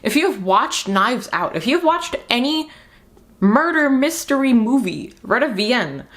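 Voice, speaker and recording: A woman's voice: fairly high pitch, sing-song, unhurried, fairly bright tone. A young woman giving a casual, rambling book review as a vlogger, speaking candidly and informally with emphatic repeated words.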